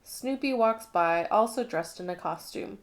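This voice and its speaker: A woman narrating a children's picture book in an expressive read-along style. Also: mid-range voice, a little slow. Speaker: storybook reader.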